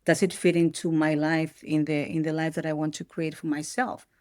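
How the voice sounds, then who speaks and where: Woman, middle-aged, from Peru, lowish voice, dry close sound, reflective, self-correcting. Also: level tone, moderate pace, darkish tone, no room sound. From Peru, raised in the Netherlands. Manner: thoughtful, restarts often mid-sentence. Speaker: podcast guest. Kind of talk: reflective personal interview.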